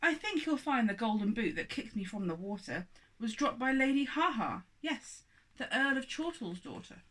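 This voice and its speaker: A woman's voice: a little high, sing-song, normal speed, a bit bright, voice pushed up, poor audio. A woman voicing an indignant, prim little female newt pointing blame in a storybook.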